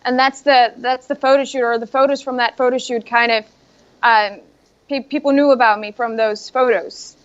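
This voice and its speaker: A young adult woman from Iceland with an Icelandic accent, a native Icelandic speaker, giving modest, soft-spoken interview answers with little giggles. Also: a little high, fairly even intonation, normal speed, smooth. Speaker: bikini bodybuilding competitor. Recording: dull lo-fi sound.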